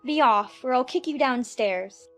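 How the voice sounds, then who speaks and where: Woman as timid, well-mannered storybook little girl, earnest delivery, high voice, soft music underneath. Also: expressive, unhurried. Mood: earnest reciting. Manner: polite, hesitant, earnest. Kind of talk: dramatized audiobook character acting.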